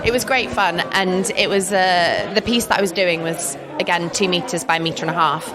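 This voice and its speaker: A woman's voice: fairly low pitch, fairly quick. A woman artist with an English accent talking about her work in a chatty, enthusiastic way, laughing often. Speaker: artist interviewed. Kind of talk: casual interview chat. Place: busy art fair. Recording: crowd chatter behind.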